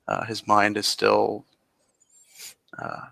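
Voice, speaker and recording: A man improvising narration for a roleplaying game in halting, descriptive phrases. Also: on the low side, wildly swooping pitch, vocal fry, bright, forceful tone. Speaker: roleplayer narrating his character and scene.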